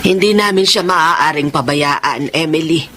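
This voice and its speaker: A woman's voice: low-pitched, measured pace, bright, forceful tone, slight background noise. A woman playing a devoted, protective older mother in a radio drama. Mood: gentle, pleading. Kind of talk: Tagalog radio drama acting.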